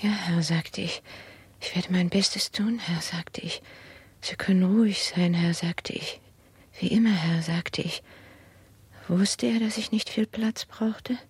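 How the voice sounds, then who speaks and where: A woman playing an anxious, humble old maidservant of 59 in a radio play, with a breathy, half-whispered, brooding delivery. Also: on the low side, fairly even intonation, takes it slowly, a little mellow, dry recording, exaggerated voice. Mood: meek, despairing. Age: older. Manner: subdued, repetitive, brooding. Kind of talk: 1960s radio play monologue.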